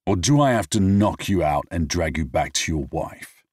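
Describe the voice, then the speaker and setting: A man's voice: low voice, expressive, normal speed, darkish tone, no room sound. A man voicing a tired male friend who talks in a dry, mildly mocking way.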